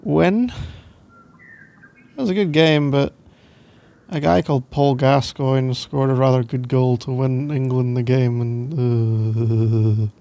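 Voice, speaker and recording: A man's voice: medium pitch, steady tone, takes it slowly, long pauses, warm tone. A young Scottish man doing casual boyfriend roleplay ASMR in a playful, teasing, rambling way, close to the microphone. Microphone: close microphone, dry sound.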